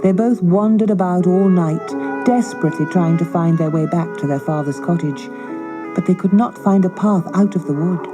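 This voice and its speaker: A woman storyteller narrating a scripted fairy tale for children in a measured, dramatic way. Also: on the low side, over music, poor audio.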